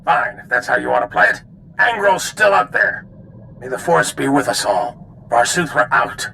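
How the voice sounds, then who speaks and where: A man acting a gruff, impatient general in a video game, blunt and clipped. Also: medium pitch, sing-song, normal speed, bright, forceful tone, a little hiss or hum, heavily acted. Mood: irritated.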